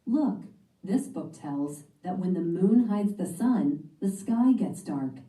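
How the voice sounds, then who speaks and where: Eager curious storybook schoolgirl, read by a woman, mellow lowish voice, roomy distant sound. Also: animated, slow.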